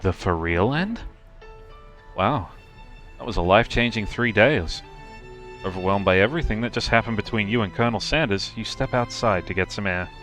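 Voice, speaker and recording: A man's voice: fairly low pitch, expressive, moderate pace, a bit bright, faint music behind. A man narrating a comic game as a streamer, reading scripted lines in a theatrical, playful way.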